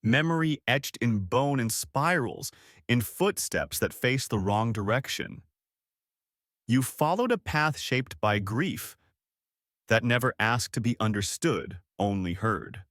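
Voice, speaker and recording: A man reads a scripted bedtime tale in a hushed, poetic way. His pitch moves widely from phrase to phrase, and each short line is set down deliberately. The recording is dry and close. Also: expressive, dry recording. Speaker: bedtime storyteller. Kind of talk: scripted second-person bedtime narration.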